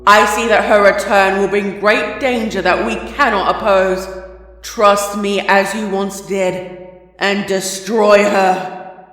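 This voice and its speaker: Guy doing a high shrieky fire demon lady, snarly and panicky, huge echoey reverb on it. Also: fairly even intonation, slow pace, fairly bright tone. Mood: fearful insistent. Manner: screaming, snarling, then pleading whine. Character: raging fiery female monster spirit, fanatical, panicky. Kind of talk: fanfic audiobook character voice.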